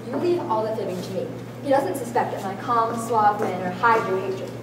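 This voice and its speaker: A woman's voice: fairly high pitch, animated, a little fast, lightly acted. A young woman in an amateur stage play, playing a wife's poised, calculating social mask with suave, formal diction. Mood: poised, superior. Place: large school hall with small audience. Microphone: far camera. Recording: echoey hall, distant camera, room noise.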